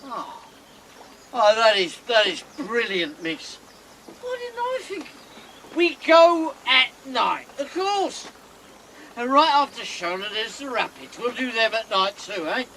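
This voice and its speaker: A coarse riverboat captain who talks in rough slang and swings from mocking to grumbling. His voice sits high and bright and swoops all over the place. It is a radio drama performance with a faint hiss behind it. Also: sing-song, slow, heavily acted, poor audio. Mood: sarcastic. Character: gruff, hard-drinking riverboat captain, man.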